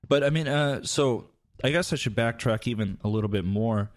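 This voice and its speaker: A man's voice: mid-range voice, normal speed. A man hosting a podcast, talking in a loose, chatty way as he interviews a guest. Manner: relaxed, loose and chatty with fillers. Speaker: podcast host. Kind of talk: casual interview chat.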